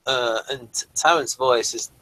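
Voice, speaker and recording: A man with an English accent explaining things in casual discussion, often halting and rephrasing as he goes. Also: medium pitch, bright, forceful tone, no room sound, low-quality recording. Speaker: podcast reviewer.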